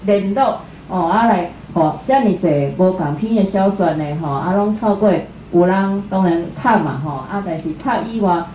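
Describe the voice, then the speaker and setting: A woman's voice: mid-range voice, moderate pace, hardly any pauses, mellow. A woman with a Taiwanese accent, hosting and giving an informal introduction at a live talk. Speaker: event host introducing a guest speaker. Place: indoor room at a live talk event. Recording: echoey room with faint noise.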